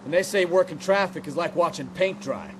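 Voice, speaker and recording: A man's voice: a little high, fairly quick, natural voice. An American man playing a jaded, grumbling 1940s police officer in a video game, blunt and hot-headed. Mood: exasperated. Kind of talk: dramatic video game cutscene acting. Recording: street and vehicle noise behind dialogue.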